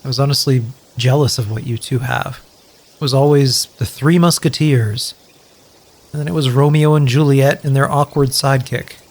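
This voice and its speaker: An actor playing a sincere, joking wasteland survivor in an audio drama, speaking earnestly and hesitantly with wry, profane humour. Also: male voice, mid-range voice, steady tone, moderate pace, a little mellow, close to an everyday voice. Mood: wistful. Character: sincere, grateful, joking male wasteland survivor, loyal friend. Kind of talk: audio drama dialogue, naturalistic.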